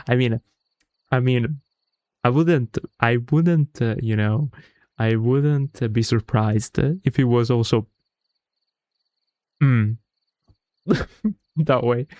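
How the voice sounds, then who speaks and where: A man's voice: mid-range voice, a little mellow, no room sound. A man livestreaming a visual novel read-aloud in a casual, chatty way, often stumbling over words and correcting himself.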